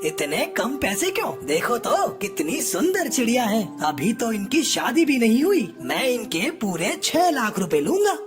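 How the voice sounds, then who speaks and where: Woman playing scheming cartoon crow uncle, greedy, brash, high-pitched, slow drawn-out delivery, music behind. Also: big pitch swings. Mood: haggling. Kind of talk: Hindi children's cartoon story voice acting.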